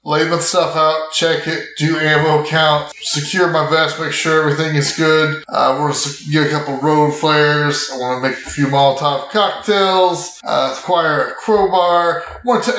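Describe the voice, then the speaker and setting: A man's voice: on the high side, a bit bright. A man improvising his character's actions in casual speech as a tabletop game player, in an echoey room. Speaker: player in an actual-play podcast.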